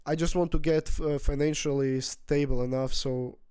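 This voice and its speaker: A man in his twenties, not a native English speaker, talking through his feelings in a rambling, halting personal vlog with frequent restarts. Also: a little high, steady tone, dark tone, clear, resonant tone, dry recording, clean studio sound. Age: 20s. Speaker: vlogger. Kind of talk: casual personal vlog monologue.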